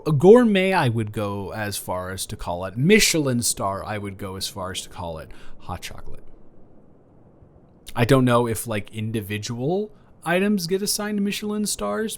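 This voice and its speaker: A man's voice: on the low side, natural voice. Guy acting as a sweet awkward male neighbor, kinda flirty, trips over his words and rambles, casual improv roleplay. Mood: playfully boastful. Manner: stammering, rambling, self-correcting, flustered. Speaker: performer of improvised roleplay audio. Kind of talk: improvised romantic roleplay, casual one-sided conversation.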